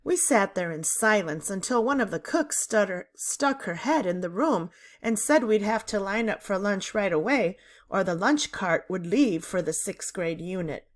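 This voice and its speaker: A woman reading a children's book aloud in a deliberate, animated storytelling manner. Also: mid-range voice. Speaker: read-aloud narrator.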